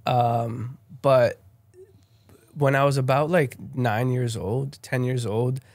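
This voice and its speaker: A man's voice: medium pitch, steady tone, slow pace, gravelly, darkish tone, dry recording. A young man from Brazil, a guest in a casual interview, telling his story with lots of fillers and restarts. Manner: rambling, many fillers and restarts.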